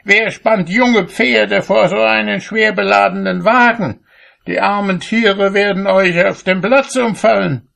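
A man voicing an irascible, fault-finding master cobbler in a storybook, shouting and scolding indignantly. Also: sits high, measured pace, creaky, pitched above the performer's own voice, full character voice.

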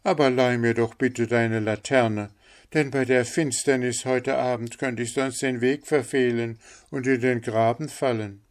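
A man's voice: mid-range voice, fairly even intonation, a little slow, voice pushed up, lightly acted. A man doing an eager humble little fellow. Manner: eager, obliging and polite.

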